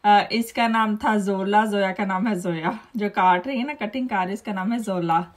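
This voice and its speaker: A woman's voice: mid-range voice, normal speed. A woman, chatting and telling stories over her home video. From Pakistan. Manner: chatty, storytelling, laughs often. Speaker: vlogger.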